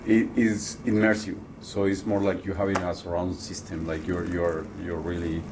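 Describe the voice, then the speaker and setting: Low voiced guy answering interview questions, kinda halting, repeats words, noisy show floor. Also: little pitch movement. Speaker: loudspeaker designer and company co-founder. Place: busy audio trade show.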